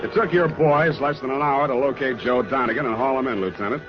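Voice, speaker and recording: Male actor as hard-boiled detective, American, deadpan, easygoing, 1940s radio style, muffled old broadcast, music behind. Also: medium pitch, a little fast, lightly acted. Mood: wry narration. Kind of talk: 1940s radio detective drama acting and narration.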